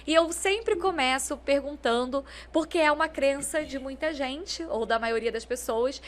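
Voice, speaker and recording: A woman, hosting a podcast and interviewing a guest in a measured way. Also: high voice, a little hiss or hum. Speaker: podcast host.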